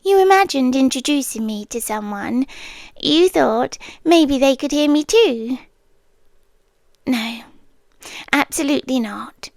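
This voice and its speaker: British woman doing an obsessive guardian angel, soft whispery asmr, high voice, slow and close, dry sound. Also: no room sound, heavily acted. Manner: soft, intimate, often whispered. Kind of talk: ASMR roleplay.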